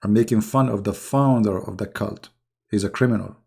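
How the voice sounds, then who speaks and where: Hoarse, gravelly man mocking his opponents. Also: fairly low pitch, normal speed. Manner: mocking, scornful, with sudden loud rises. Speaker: YouTube channel host. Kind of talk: casual polemical monologue to viewers.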